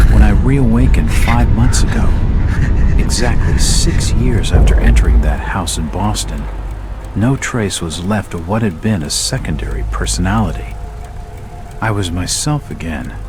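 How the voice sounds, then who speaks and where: Brooding private eye, low pitch, terse, grave, world-weary, noir video game, prominent music backdrop. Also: male voice. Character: brooding, world-weary male private detective haunted by amnesia.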